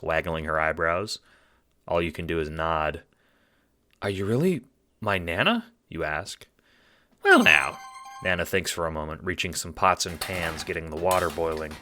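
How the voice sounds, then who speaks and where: A man's voice: low voice, sharp tone, a little hiss or hum. A man giving a scripted second-person audiobook narration with dramatic storytelling. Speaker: narrator and producer.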